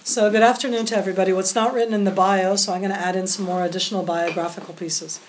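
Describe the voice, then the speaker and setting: A woman's voice: mid-range voice, steady tone, quick pace, noisy background. An American woman in her fifties giving a public lecture to a group, explaining at length with many asides. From United States, California. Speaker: guest lecturer.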